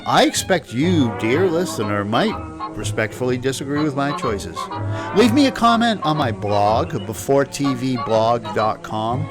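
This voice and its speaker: A man's voice: medium pitch, animated, unhurried, over music. A man hosting a podcast, narrating a script about old radio shows. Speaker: podcast host.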